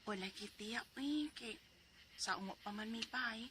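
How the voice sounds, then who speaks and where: A woman's voice: fairly high pitch, moderate pace, sharp tone, a little hiss or hum. A woman in her forties with a Cebuano accent, earnestly narrating her own story as a letter sender. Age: 40s.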